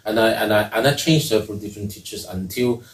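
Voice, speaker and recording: A man with a fairly low voice, talking casually as a singer guest in an interview. His speech is halting and full of restarts. The sound is roomy and picked up from a distant mic. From Hong Kong. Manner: chatty and halting, with frequent restarts and repeated words. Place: music academy room.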